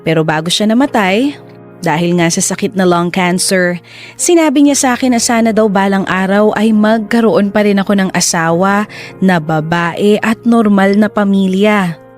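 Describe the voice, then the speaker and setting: A man's voice: high voice, normal speed, close to an everyday voice. A reflective man in his late twenties, played by an actor, tells his own love story as radio drama narration. Faint music runs under the voice. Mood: reflective, confessional.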